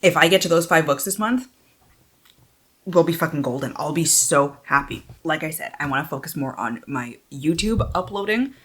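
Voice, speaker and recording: A woman's voice: low voice, expressive, normal speed, breathy edge. A woman book vlogger chatting casually to viewers in an informal, self-deprecating way, sometimes sweary or reading blurbs aloud.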